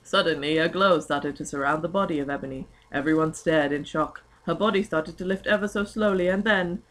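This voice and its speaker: A woman's voice: fairly low pitch, a bit bright. A young woman giving a comic, mock-dramatic amateur reading of fan fiction, prone to giggling.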